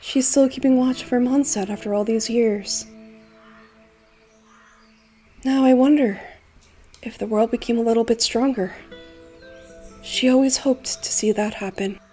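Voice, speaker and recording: Woman acting a mellow, lyrical boyish bard. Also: a little high, steady tone, moderate pace, soft background music, natural voice. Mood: wistful. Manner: lyrical, poised storytelling. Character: gentle, playful boyish bard, secretly a wind god. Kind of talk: theatrical fan manga dub narration.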